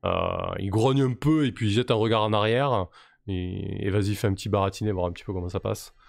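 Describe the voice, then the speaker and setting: A man's voice: lowish voice, steady tone, a little fast, gravelly, dry recording, clean studio sound. A man running a tabletop role-playing game, narrating casually with many hesitations. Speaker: game master.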